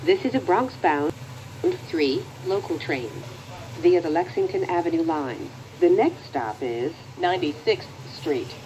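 Low-pitched American woman announcing trains. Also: animated, measured pace, long pauses, a little mellow, slight background noise, low-quality recording. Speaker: transit announcer.

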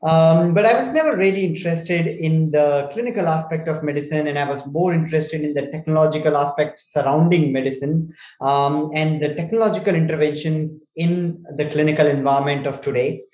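A man in his twenties from India, with an Indian accent, explains things in a measured, articulate way over a roomy video call. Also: fairly high pitch, darkish tone. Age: 20s. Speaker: startup CEO being interviewed. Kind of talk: explanatory interview talk. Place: small indoor room, video call.

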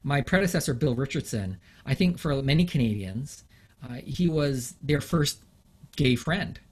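A middle-aged man speaking as an interview guest, in a plain mid-range voice. He talks in a reflective, conversational way, with pauses and fillers as he works through his thoughts. His delivery turns lively when he stresses a point. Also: mid-range voice. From England. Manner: thoughtful, animated, with frequent fillers and laughs.

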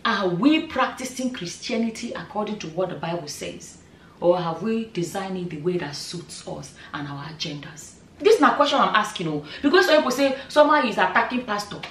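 A woman in her thirties with a Nigerian accent, giving animated opinion commentary straight to camera, full of rhetorical questions. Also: medium pitch, a little fast, slight background noise. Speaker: vlogger. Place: bright room indoors, seated in chair. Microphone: far camera. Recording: roomy echo, mic at a distance.

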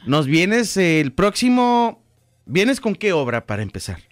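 Relaxed radio host, dry sound. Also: male voice, high-pitched, measured pace, dry recording. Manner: relaxed, frequent affirming murmurs. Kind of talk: casual radio interview. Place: radio studio.

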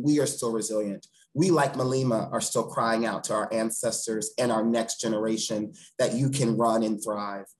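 A man's voice: medium pitch, low-quality recording. A man in his thirties speaking earnestly and eloquently as an interview guest on a video call from a room at home. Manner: earnest, eloquent, emphatic repeated phrasing. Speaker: theatre director, interview guest. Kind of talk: thoughtful interview talk.

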